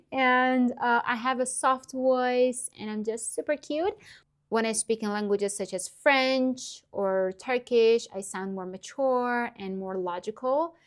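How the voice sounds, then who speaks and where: A woman in her twenties from Iran, whose first language is Persian, giving earnest, upbeat language-learning advice to camera with frequent small laughs. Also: sits high. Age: 20s. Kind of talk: direct-to-camera tutorial vlog.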